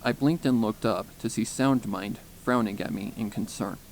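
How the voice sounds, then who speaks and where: A man's voice: fairly low pitch, normal speed, a little mellow. A man reading scripted first-person fan fiction as a story narrator.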